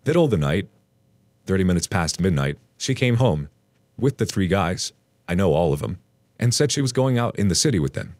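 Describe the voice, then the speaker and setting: Deep-voiced man narrating a story. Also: brisk, no room sound. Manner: expressive storytelling read. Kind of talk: reading an online post aloud.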